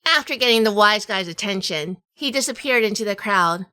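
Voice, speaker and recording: A woman playing a wry, tough female sleuth in a hardboiled noir audio drama, telling it in measured, dramatic fashion. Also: mid-range voice, expressive, sharp tone, dry recording. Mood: tense. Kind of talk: first-person noir audio drama narration.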